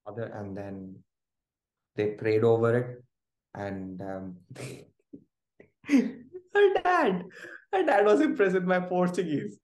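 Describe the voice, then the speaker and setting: A man recounts a personal story as an interview guest. He talks in an animated way and laughs often. His voice carries a noticeable room echo. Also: medium pitch, takes it slowly, pauses often. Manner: animated, laughing, restarts while telling a story. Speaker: guest, groom-to-be. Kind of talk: casual storytelling. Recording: roomy echo.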